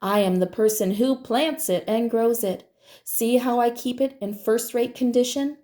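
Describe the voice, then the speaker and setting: A woman's voice: on the high side, measured pace, warm tone, close to an everyday voice. Woman as a bossy, possessive storybook gardener. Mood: stern, possessive. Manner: emphatic, bossy. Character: grumbling, possessive male gardener, proud and stern.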